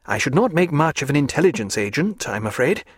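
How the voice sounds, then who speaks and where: A male narrator voicing a reserved, earnest naturalist who speaks in a measured, formal way. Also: a little high, big pitch swings, breathy edge, no echo, studio quality.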